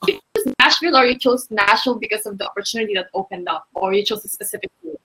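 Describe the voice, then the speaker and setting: A woman hosts a friendly podcast conversation with a bright, breathy voice. She asks questions and drops in short, encouraging replies. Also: medium pitch, lively intonation, fast talker, poor audio. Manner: brief encouraging interjections and questions. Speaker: host. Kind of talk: casual chat.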